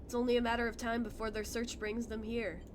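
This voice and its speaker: Woman reading as a quiet, fearful runaway android. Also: flat delivery, a little fast, background noise, close to an everyday voice. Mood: grim, resolute. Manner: quiet, low-key reading. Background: game music.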